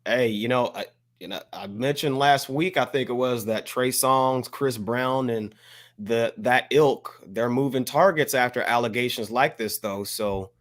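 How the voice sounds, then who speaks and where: An American man co-hosting a casual podcast discussion, measured and hesitant, carefully qualifying what he says. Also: medium pitch. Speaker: podcast co-host.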